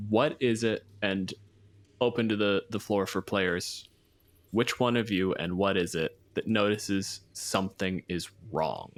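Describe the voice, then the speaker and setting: A man acting as game master, casually improvising and questioning players in a tabletop game. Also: on the low side.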